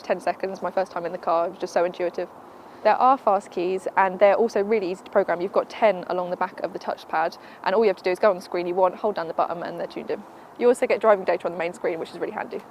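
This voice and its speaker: Fast-talking woman car reviewer. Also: lowish voice, a little mellow, a little hiss or hum. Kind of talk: product review.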